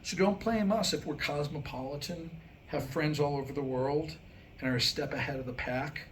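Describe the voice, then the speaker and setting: A man with a slightly higher-pitched voice reads a comic script aloud to a live room. He tells history in a wry, joking way, posing rhetorical questions. There is light noise on the recording. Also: normal speed. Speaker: writer reading a comedic script aloud. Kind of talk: staged reading mixing comic monologue and history storytelling. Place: indoor staged reading with a few listeners reacting.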